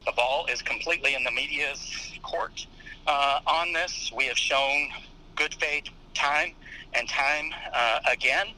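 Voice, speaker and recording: A man with an American accent speaking as a police chief in an interview, halting and emphatic, on noisy recorded audio. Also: fairly high pitch, sharp tone. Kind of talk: interview statement.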